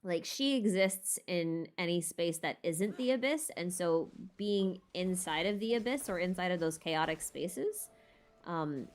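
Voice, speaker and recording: A woman with a slightly low-pitched voice talks as a livestreamer in a loose, casual style. She thinks out loud, rambling and tentative, as if working out her ideas while she speaks. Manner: thinking aloud, tentative and musing. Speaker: streamer hosting a lore Q&A. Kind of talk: casual rambling livestream chat about game lore.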